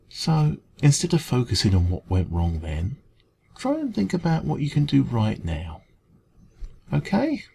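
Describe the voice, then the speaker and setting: A man with an English accent playing a kind café dragon in an ASMR roleplay, talking gently and reassuringly in a creaky voice. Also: lowish voice, full character voice. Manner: gentle, reassuring, hesitant conversational encouragement. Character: kind, caring male dragon who runs a café. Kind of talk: ASMR roleplay, comforting one-to-one talk.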